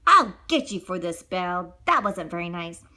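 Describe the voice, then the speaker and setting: Woman putting on a smug pushy storybook guy, drops the voice low, totally in love with himself. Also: very animated, moderate pace, bright, forceful tone, full character voice. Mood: sulky. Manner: smug, self-admiring. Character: vain, pushy young male suitor, smug and boastful.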